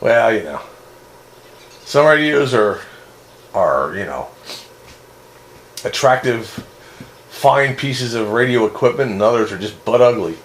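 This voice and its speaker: A man's voice: medium pitch, animated, measured pace, long pauses. A man chatting casually as a radio hobbyist. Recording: clearly noisy radio-chat recording.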